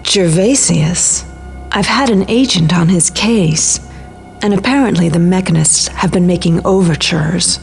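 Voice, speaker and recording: Video game ally: composed, mysterious woman, slightly low register, grave and measured delivery. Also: gravelly, soft background music. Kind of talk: video game cutscene acting.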